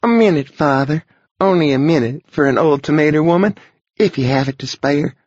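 A man's voice: on the high side, normal speed, darkish tone, no echo, lo-fi sound, voice pushed up, lightly acted. A man reading an audiobook voices a toothless old countrywoman who talks in a folksy old-fashioned dialect. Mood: insistent. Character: old toothless rustic tomato woman, wheedling.

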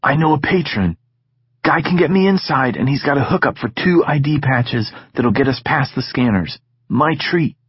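A man's voice: medium pitch, animated, normal speed, husky, dry recording, lo-fi sound, voice pushed up. A man voicing a brash, teasing teenage boy who keeps pushing his friend, in an audiobook reading. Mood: pushy eager.

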